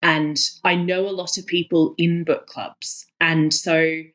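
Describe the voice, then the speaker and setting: A woman's voice: deep voice, little pitch movement, slow, fairly bright tone. A woman in her thirties speaking as an interview guest, enthusiastic and emphatic, often repeating words for emphasis. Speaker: guest, cookbook author.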